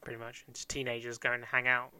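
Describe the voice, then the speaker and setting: Bright-voiced young man from Kent. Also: mid-range voice, monotone, fast, smooth. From Kent, England. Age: 20s. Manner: joking, rambling, laughs often. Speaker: podcast co-host. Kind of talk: casual chat.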